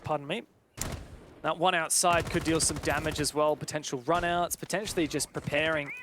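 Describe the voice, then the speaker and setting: A man's voice: on the high side, fairly bright tone, slight background noise. A man giving live esports play-by-play commentary with dramatic, colourful phrasing, as a match caster.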